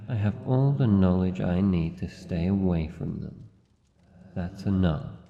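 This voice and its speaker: An Australian man playing a wary, lonely living shadow monster in a fantasy ASMR roleplay, speaking in a formal, halting, guarded way. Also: deep voice, creaky. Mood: guarded, softening. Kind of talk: fantasy ASMR roleplay, slow deliberate delivery. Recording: muffled, long cavernous reverb, faint noise.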